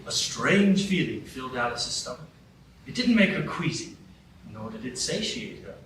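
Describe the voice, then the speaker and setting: A man's voice: mid-range voice, very animated, moderate pace, a bit bright. A man narrating a fairy tale in a live radio play, in a wry storytelling way. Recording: very echoey room, mic far off, background noise.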